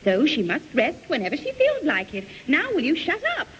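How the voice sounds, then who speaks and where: A woman's voice: sits high, wildly swooping pitch, a little fast. A woman playing a bossy, irritated young older sister, scolding sharply in a British radio sitcom. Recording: echoey, muffled, noisy old radio recording.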